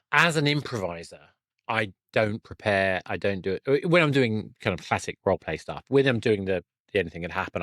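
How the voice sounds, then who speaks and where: A man's voice: fairly low pitch, fairly bright tone, dry recording. A man hosting a podcast, interviewing a guest in a casual, conversational style.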